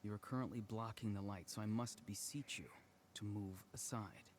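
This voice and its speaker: An actor playing a dreamy, pompous philosopher who speaks solemnly and cryptically in a video game. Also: male voice, fairly low pitch, moderate pace, a little hiss or hum, close to an everyday voice. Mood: serene.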